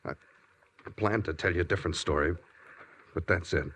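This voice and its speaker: Troubled suspect, lowish voice, blunt and urgent, forties radio drama, clearly noisy recording. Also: male voice, moderate pace, a bit bright. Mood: tense, troubled. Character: tense, guilt-ridden man suspected of murder. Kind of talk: 1940s radio drama acting.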